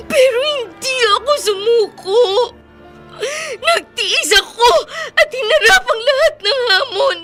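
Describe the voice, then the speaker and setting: A woman's voice: very high-pitched, animated, a little slow, exaggerated voice. An actress playing a long-suffering but defiant woman in a tearful, impassioned radio drama monologue. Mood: tearful defiance. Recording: loud music under the voice.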